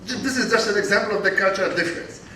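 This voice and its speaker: High bright-voiced man asking from the audience. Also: a little hiss or hum. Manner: halting, searching for words. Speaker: audience member asking a question. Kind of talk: long spoken question at a talk. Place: lecture hall. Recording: echoey hall from far off.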